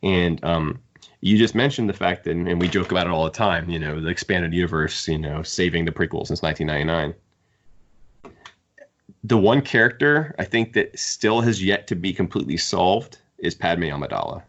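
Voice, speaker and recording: A man's voice: sits low, lively intonation, moderate pace, a bit bright. A man co-hosting a podcast, discussing books casually in an opinionated, wry way. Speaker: podcast co-host. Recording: dull, band-limited audio.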